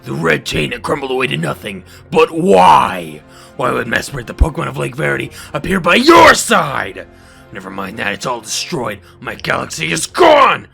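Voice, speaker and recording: A man's voice: mid-range voice, big pitch swings, normal speed, vocal fry, sharp tone, slightly rough, faint music behind, heavily acted. A man voicing a ruthless, vengeful villain boss from a video game, brooding and menacing. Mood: enraged, menacing. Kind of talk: video game character reel.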